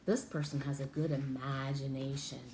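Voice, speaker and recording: A woman teaching and reading a picture book aloud to young children, interactively, in an exaggerated, expressive way with drawn-out words. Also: extremely low pitch. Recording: echoey room with faint background noise.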